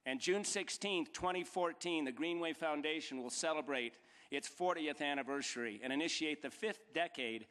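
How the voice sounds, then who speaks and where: A man's voice: on the high side, normal speed, a bit bright. A middle-aged man giving a formal acceptance speech from a podium, building emphatic rhetorical lists and repeated dates. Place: large banquet hall stage. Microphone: podium microphone. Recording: long reverb of a large hall.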